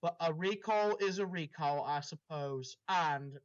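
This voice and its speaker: High-voiced man casually reading a story. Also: measured pace, lo-fi sound. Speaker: story reader.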